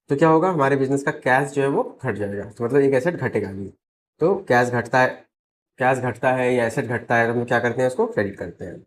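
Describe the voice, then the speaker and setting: Slightly hoarse Indian man teaching. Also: medium pitch. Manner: step-by-step, checks in with rhetorical questions. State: slightly hoarse, sore throat. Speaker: accountancy teacher. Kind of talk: explanatory lesson mixing Hindi and English.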